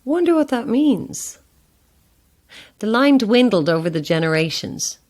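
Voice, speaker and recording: A woman's voice: on the high side, big pitch swings, a little slow, darkish tone. A woman with an Irish accent playing a curious, easygoing tourist in a naturalistic audio horror drama, conversational and thoughtful. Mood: musing. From Ireland.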